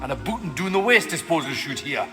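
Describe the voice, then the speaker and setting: An actor voicing a bitter, wry faceless undead mutant with a colloquial Geordie accent in a video game. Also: male voice, on the high side, sing-song, fast talker, sharp tone. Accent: Geordie English. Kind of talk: video game cutscene acting. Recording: long reverb, music behind.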